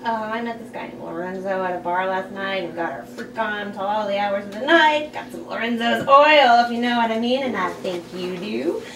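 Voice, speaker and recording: A woman's voice: medium pitch, normal speed, few pauses, lightly acted. A woman reading a breezy, teasing suburban friend in a comedy script table read. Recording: echoey room, distant mic, clear noise.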